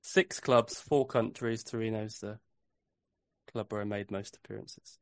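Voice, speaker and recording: Male podcast host running a quiz, measured clue reading, dry close sound. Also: on the low side, steady tone, dry recording. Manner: reads clues slowly and repeats them.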